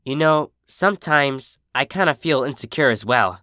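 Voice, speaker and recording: A man's voice: mid-range voice, animated, moderate pace, sharp tone, no echo, clean studio sound. A man voicing a cocky, protective female pegasus, a big sister with a brash, casual, reassuring manner. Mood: confiding.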